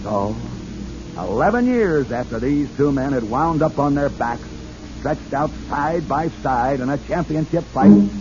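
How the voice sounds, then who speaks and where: A man's voice: medium pitch, expressive, measured pace. Theatrical man hosting old radio. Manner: theatrical, emphatic showman. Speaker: radio host. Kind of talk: dramatic radio narration. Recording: muffled vintage radio with music.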